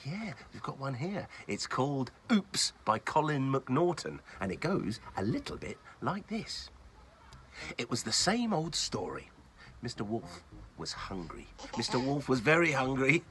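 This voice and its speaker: A man hosting and reading a children's bedtime story in a playful, expressive, child-directed way. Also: medium pitch, a little slow, slight background noise. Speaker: children's story reader and host.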